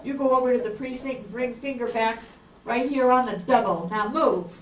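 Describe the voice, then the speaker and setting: A woman's voice: fairly high pitch, moderate pace, natural voice. A woman playing a brash, bossy hard-boiled detective with wry slang in an amateur radio play. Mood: bossy, urgent. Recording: far, echoey room with clear noise.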